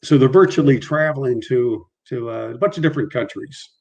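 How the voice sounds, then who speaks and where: Man, middle-aged, stutters, halting repeats, interview guest, video call. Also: medium pitch, vocal fry, darkish tone, muffled recording. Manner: halting, frequent repetitions and restarts, mild stutter.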